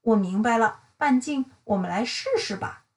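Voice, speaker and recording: A woman's voice: fairly high pitch, moderate pace, a little mellow, lightly acted. A woman voicing a thoughtful, curious young male knight in a storybook, working problems out aloud.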